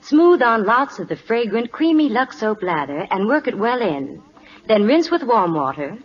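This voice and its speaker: A woman's voice: a little high, big pitch swings, moderate pace. A woman reading a scripted 1940s radio advertisement in a polished, instructive sales manner. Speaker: radio commercial announcer. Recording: dull old radio recording.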